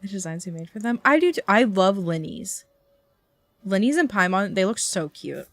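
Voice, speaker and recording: A woman game streamer giving casual, excitable live reactions and gushing over what she watches. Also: mid-range voice, moderate pace, no room sound.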